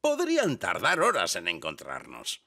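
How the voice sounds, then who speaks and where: A man voicing a timid, well-meaning village bus driver in an animated children's series, hesitant and bumbling. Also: deep voice, moderate pace, full character voice. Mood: resigned.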